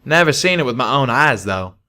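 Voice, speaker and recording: A man plays a folksy pegasus cowboy from a fantasy storybook. He talks in loose, colloquial phrases, pitched somewhat above a low male narrating voice. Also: expressive, brisk, bright, forceful tone. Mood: muttering.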